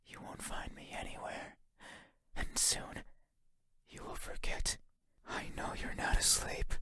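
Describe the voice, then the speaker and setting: A man voicing a lonely, faceless horror game entity, menacing and mournful, in a breathy whisper with a slow, halting, intimate delivery. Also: bright, forceful tone. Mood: menacing, teasing. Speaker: voice actor.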